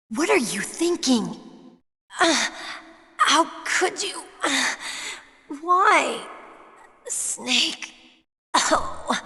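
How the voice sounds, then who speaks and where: A spirited young woman soldier from a video game. Her voice is high, bright and very animated, ringing in a long hall-like reverb. She is emotional and exclamatory. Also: heavily acted. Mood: distraught. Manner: exclamatory, urgent.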